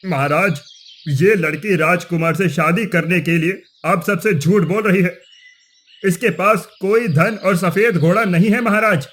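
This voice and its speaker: A man's voice: sits high, measured pace, fairly bright tone. A man playing a greedy, scheming village headman in a cartoon moral story, nervous and stammering as he pleads. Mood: flustered accusing.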